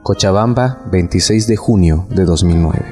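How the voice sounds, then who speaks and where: A man's voice: low-pitched, normal speed, mellow. A man in his thirties from Bolivia, praying solemnly and giving his testimony as a prison pastor. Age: 30s. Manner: solemn, prayerful, with repeated invocations. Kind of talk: prayer, scripture reading and personal testimony. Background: faint instrumental music.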